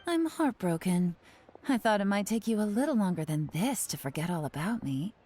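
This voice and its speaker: An actress playing a confident young female spy who talks in a wry, teasing, relaxed way in a game cutscene. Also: medium pitch, normal speed, slight background noise, close to an everyday voice. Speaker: covert agent. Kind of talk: video game cutscene dialogue.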